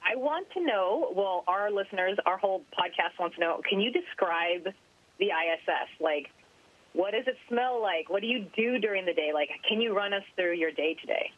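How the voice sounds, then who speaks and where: A woman hosting a podcast asks an astronaut questions in an excited, chatty way, often saying "like". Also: medium pitch, normal speed, phone-quality sound. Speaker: podcast host and interviewer. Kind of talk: enthusiastic interview questions.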